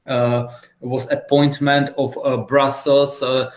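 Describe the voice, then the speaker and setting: Czech man presenting on an echoey call. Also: mid-range voice. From Czech Republic. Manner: relaxed, chatty, many filler pauses. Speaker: guest speaker. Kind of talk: online webinar presentation. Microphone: video call. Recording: echoey room on a video call.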